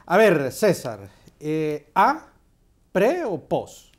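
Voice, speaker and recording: A man's voice: fairly high pitch, huge pitch swings, drawn-out words. A male host leading a studio panel, pressing guests with probing questions and interruptions.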